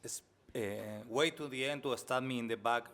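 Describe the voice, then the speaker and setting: A man's voice: mid-range voice, fairly even intonation, normal speed, background noise. A man from Argentina with an Argentine Spanish accent, speaking English as a second language, introduces himself on a panel with apologetic jokes. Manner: apologetic, joking. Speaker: panelist, novelist.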